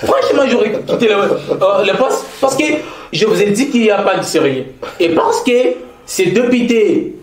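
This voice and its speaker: A man's voice: high-pitched, expressive, normal speed. A Congolese man with a Congolese French accent, speaking in a formal, earnest way, often repeating key phrases and hesitating as he goes. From Kasaï-Central, DR Congo. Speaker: interviewee, commenting on provincial politics. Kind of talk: formal, earnest public commentary. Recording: echoey room.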